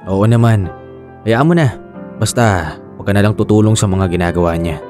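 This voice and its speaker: Easygoing young boyfriend for radio drama, played by a man: quick, low-leaning voice, matter-of-fact, reassuring. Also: darkish tone, soft background music, natural voice. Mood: casual, reassuring.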